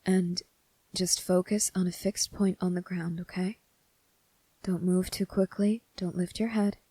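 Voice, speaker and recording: Kind young male cadet female performer, ASMR roleplay. Slightly low, dark soft tone, unhurried, polite, hesitant, reassuring. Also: level tone, dry recording. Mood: soothing, worried. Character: kind, earnest teenage boy military cadet, soft-spoken and caring.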